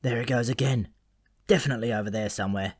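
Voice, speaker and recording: A man's voice: mid-range voice, fast, darkish tone, husky, dry recording, studio quality, lightly acted. A man voicing an earnest, slightly wounded male raven with a defensive, chatty manner, in a comic fantasy audiobook.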